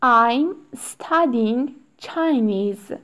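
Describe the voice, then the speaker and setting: A woman reading short English phrases aloud one at a time, with long pauses for learners to repeat. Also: a little high, lively intonation, a bit bright. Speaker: English teacher. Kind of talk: slow read-aloud drill for learners.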